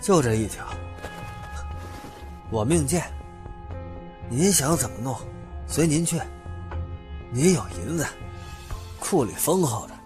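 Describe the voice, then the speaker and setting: An actor playing a brazen small-town thug in an audio drama, bitter and defiant, with a crude, sneering delivery. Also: male voice, on the high side, lively intonation, slow pace, long pauses, a little mellow. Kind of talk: Chinese audio drama acting. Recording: music behind the voice.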